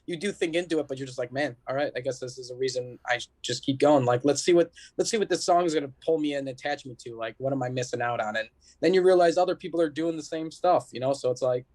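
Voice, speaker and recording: A man's voice: medium pitch, fast, darkish tone. A man appearing as a podcast guest, chatting casually with informal, laid-back, slangy phrasing. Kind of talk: casual chat.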